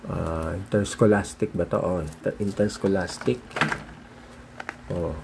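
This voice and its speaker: Filipino guy vlogging casually, really slow halting mumbly show-and-tell, lots of noise and clicking behind him. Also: sits low, fairly even intonation, gravelly. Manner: halting, muttering to himself while handling things. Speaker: vlogger showing his cassette collection. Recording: noisy recording with handling clicks.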